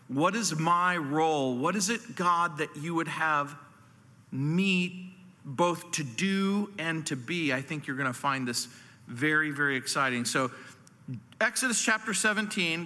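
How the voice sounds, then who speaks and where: An American man in his sixties preaches in a bright, slightly high voice that rings through a large hall. His delivery is deliberate, with pauses and a folksy, dry humour. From New Orleans, southern United States. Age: 60s. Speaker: pastor, guest speaker. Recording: echoey hall, long reverb.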